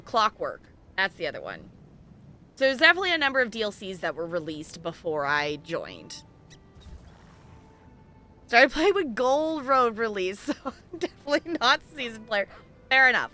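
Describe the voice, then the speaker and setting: Bright, animated woman chatting on stream. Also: a little high. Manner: rambling, thinking aloud. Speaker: game livestream host. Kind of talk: casual chat while playing an online game.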